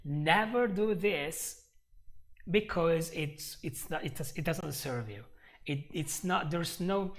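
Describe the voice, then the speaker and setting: A man's voice: fairly high pitch, gravelly. A man with an Italian accent teaching English on a live stream, patient and repeating himself as he explains.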